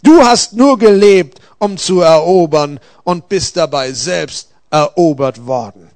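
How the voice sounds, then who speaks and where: A man acts out an angel from a dramatic story, stern but gentle. He admonishes with solemn weight, taking his time, in a fairly high male voice with a dry, close sound. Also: expressive, slow pace, no room sound. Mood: stern, accusing.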